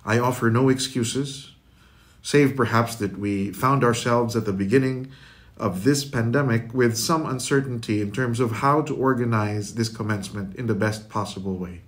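A middle-aged man, a school official, delivers a prepared commencement address in a formal, measured, eloquent manner. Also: medium pitch. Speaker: school official giving closing remarks. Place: indoor room with curtains, recorded address.

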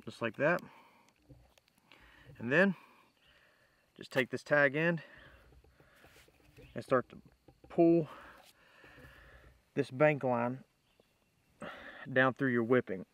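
A man with a bright, forward voice at an ordinary middle pitch talks through a hands-on tutorial. He is informal and plain-spoken, explaining each step as he works outdoors. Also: extremely slow, pauses often. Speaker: bushcraft demonstrator, host. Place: outdoors in woodland.

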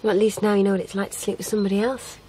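A woman acts out a bold, teasing female hitch-hiker in a radio play. Her voice sits at an ordinary pitch and is intimate and probing, with faint outdoor ambience behind it. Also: flat delivery, very fast, a little mellow, a little hiss or hum, close to an everyday voice, poor audio. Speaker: actress in a radio drama. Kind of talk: naturalistic radio drama acting.

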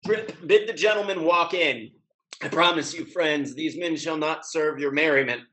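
A merry, hard-drinking rake in period stage comedy. He has a fairly high voice and declaims in grand, flourishing phrases. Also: normal speed, bright, forceful tone. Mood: earnest. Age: young adult. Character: merry, hard-drinking young rakish gentleman in love. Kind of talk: theatrical classical stage comedy acting. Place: home room, video call.

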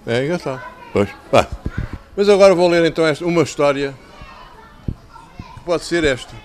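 An older man with a European Portuguese accent and a slightly hoarse voice, talking to schoolchildren as an author, conversational and didactic, repeating phrases for emphasis into a handheld microphone. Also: a little high, animated, normal speed. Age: 60s or older. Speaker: children's author. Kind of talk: talking and telling stories to schoolchildren. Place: covered outdoor tent in a town square.

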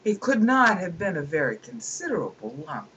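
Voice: mid-range voice, sing-song, normal speed, fairly bright tone, slightly rough, poor audio. A person at a home computer reading a book aloud and breaking off into opinionated, digressive commentary with chuckling asides.

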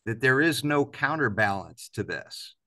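A man in his fifties with a bright, forceful voice answers in an interview over a video call. He speaks reflectively, stressing points and posing rhetorical questions at a medium pitch. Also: lively intonation, measured pace. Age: 50s. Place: indoors, large office-like room, on a video call.

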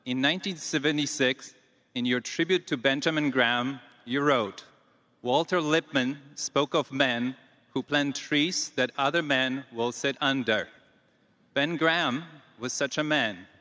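A man's voice: medium pitch, a little slow, bright, forceful tone. A middle-aged man reading out a prepared question from the audience of a public meeting. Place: audience area of a large dark hall. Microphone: stand microphone. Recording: reverberant arena public address sound.

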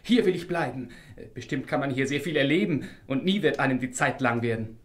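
An actor playing a naive, curious young farmer's son, earnest, in a fairy-tale audio drama. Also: male voice, medium pitch, animated, brisk, close to an everyday voice. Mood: amazed.

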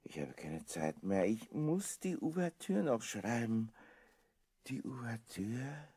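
An actor playing a sick, frightened and exhausted composer in an audio drama, husky, halting, with an Austrian accent. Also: male voice, mid-range voice, sing-song, warm tone, no echo, full character voice. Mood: exhausted, despairing. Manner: halting, repeating words. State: feverish, weak. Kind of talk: German audio drama acting.

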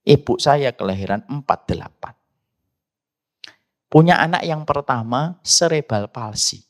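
A middle-aged man preaches with a Javanese accent at a medium pitch. He mixes religious teaching with storytelling in a conversational manner. He often turns to address his listeners directly. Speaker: Islamic preacher. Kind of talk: sermon with personal storytelling.

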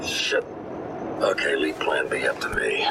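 An actor playing a gruff, cynical corporate agent in a video game, terse and commanding, with a gravelly voice. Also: male voice, rumbling low, expressive, a little slow, very noisy, heavily acted. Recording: distant voice under game music.

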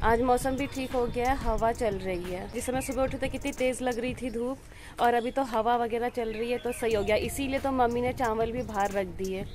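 A woman's voice: fairly high pitch, steady tone, normal speed, a little mellow. A young woman narrating her day to a phone held at arm's length, outdoors at a rural house. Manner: chatty, narrating to the phone camera. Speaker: vlogger. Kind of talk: casual daily vlog narration.

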